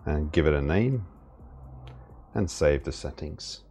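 A man narrating a casual step-by-step product setup tutorial in a plain, halting instructional way. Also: very deep voice, animated, darkish tone, breathy edge. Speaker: product demonstrator. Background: background music.

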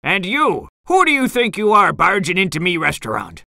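A money-loving old crab boss from a storybook put on by a woman reader. He blusters and orders in a gruff, piratical manner, lifted in pitch and very animated. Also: a little slow, dry recording, heavily acted. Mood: indignant. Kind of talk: children's storybook character voice.

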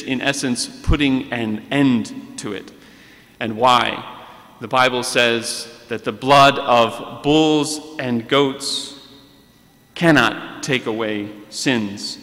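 A man in his thirties preaching a sermon at a pulpit microphone in a large church sanctuary, earnest and deliberate, reading scripture aloud. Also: medium pitch, fairly bright tone. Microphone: pulpit microphone on a stand. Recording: long church reverb.

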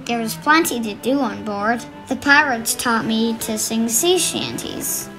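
A woman reading a children's storybook aloud in an expressive narrating style. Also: fairly high pitch, a little slow, poor audio. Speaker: children's book reader. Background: music.

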